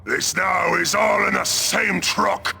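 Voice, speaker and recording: An actor playing a brash, scheming ork captain in a video game, growling crude slang in a Cockney English accent. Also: male voice, high-pitched, fairly even intonation, takes it slowly, pushed and bright, a little hiss or hum, heavily acted. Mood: scheming, rowdy. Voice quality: gravelly, growling. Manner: bellowing, crude ungrammatical slang. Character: brash, scheming male ork pirate captain hungry for loot. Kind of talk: video game cutscene acting.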